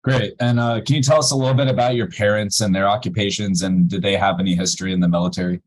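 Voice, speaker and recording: A man's voice: fairly low pitch, fairly even intonation, quick pace, rough recording. A man interviewing a veteran over a video call, asking questions casually with many fillers. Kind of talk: oral history interview.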